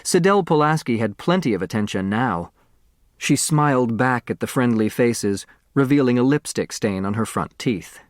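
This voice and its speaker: A man narrating a children's novel as an audiobook, expressive and deliberate in his storytelling. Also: medium pitch, no room sound, studio quality.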